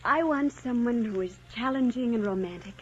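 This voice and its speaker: A woman's voice: on the high side, normal speed, a little hiss or hum, lightly acted. An actress playing a romantic, restless young society lady in an old-time radio drama, dreamy and playful. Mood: dreamy, wistful. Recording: dull old radio recording with music.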